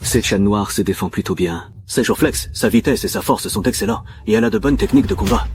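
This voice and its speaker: A man voicing a cold, ruthless cat gang boss in a dubbed animated series, commanding and menacing. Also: lowish voice, a little fast, vocal fry, a little hiss or hum. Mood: measured, appraising.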